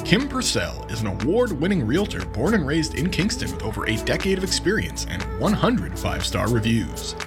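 A man's voice: fairly high pitch, wildly swooping pitch, normal speed, hardly any pauses, music in the background. A man voicing a scripted radio advertisement in an upbeat, salesy delivery. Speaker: advertisement voice-over.

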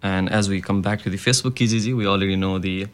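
A young student from Nepal speaks English in a fairly low voice. His answers are earnest, and he pauses a lot as he looks for words. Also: fairly even intonation. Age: young adult. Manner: earnest, hesitant with fillers and restarts. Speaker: student guest. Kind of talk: interview answers.

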